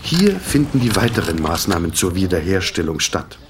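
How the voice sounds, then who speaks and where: A man narrating a radio drama in a sober, measured, detached manner, in short sentences. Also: sits low, very animated, recorded from a distance, noisy background. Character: sober, detached male storyteller of a hospital tale.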